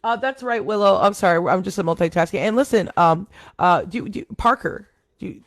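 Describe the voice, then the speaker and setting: A woman with a deep voice hosting a live talk show, chatting casually and rambling with laughs. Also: fairly quick, no echo, studio quality. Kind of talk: casual live commentary chat.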